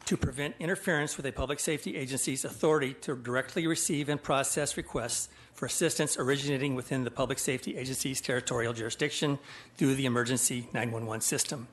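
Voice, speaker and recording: A man delivers prepared testimony at a committee hearing. His mid-pitched voice is measured and formal, as if reading from a written statement. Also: fairly even intonation. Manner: measured, formal, abstract wording. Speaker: witness testifying before a legislative committee.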